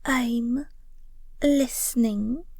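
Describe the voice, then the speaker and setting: Woman acting a breathy, teasing young girlfriend, very unhurried. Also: on the high side, a little mellow, no room sound, clean studio sound, heavily acted. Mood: playful, pouty.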